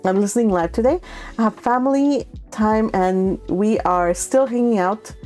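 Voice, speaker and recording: A woman's voice: medium pitch, moderate pace, darkish tone, faint music behind. A woman hosting a live read-along, chatting casually and affectionately with her viewers, giggly and informal. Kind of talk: casual livestream chat with viewers.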